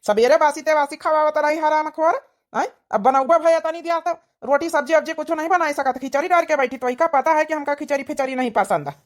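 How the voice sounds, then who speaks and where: A woman's voice: high voice, brisk, close to an everyday voice. A woman voicing a tired, grumbling village husband with an Awadhi accent in a cartoon comedy. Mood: irritated. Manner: grumbling, berating.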